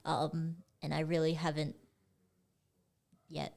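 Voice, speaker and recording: A young woman from Singapore, guest artist chatting casually in an interview, relaxed and full of "like" and "um". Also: sits low, takes it slowly, gravelly. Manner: relaxed, many fillers like "like" and "um".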